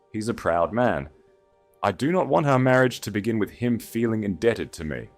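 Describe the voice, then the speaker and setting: A man's voice: lowish voice, a little mellow, pitched above the performer's own voice. A man voicing a young aristocratic lady in an audiobook, formal, composed and decisive. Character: young aristocratic bride, gentle yet resolute lady. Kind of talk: dramatised audiobook story.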